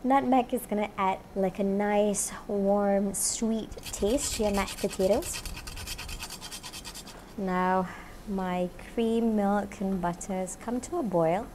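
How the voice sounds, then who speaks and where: A woman's voice: medium pitch, fairly even intonation, slow pace, warm tone, slight background noise. A woman in her thirties, a chef hosting a cooking show, chatting upbeat to camera with plenty of "you know" as she cooks, wearing a lapel mic in a kitchen studio. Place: home-style kitchen studio, indoors.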